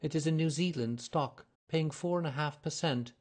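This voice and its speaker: A man's voice: fairly high pitch, moderate pace, pitched above the performer's own voice, natural voice. A man doing a flustered chatty young woman. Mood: rambling. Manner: eager, rambling, breathless pleading. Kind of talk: audiobook character reading.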